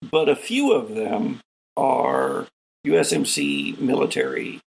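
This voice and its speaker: Gravelly man narrating as game master. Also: mid-range voice, wildly swooping pitch, takes it slowly, rough recording. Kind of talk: improvised tabletop game narration.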